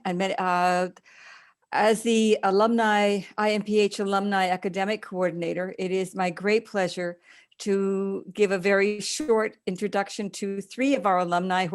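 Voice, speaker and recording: A woman, an alumni coordinator, formally introducing speakers with some hesitations in an online info session. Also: mid-range voice. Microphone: video call.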